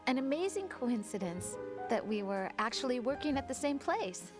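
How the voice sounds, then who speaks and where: A woman's voice: sits high, sing-song, normal speed, music in the background. An actress playing an affectionate young woman fondly recalling a first date. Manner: soft, fond, reminiscing.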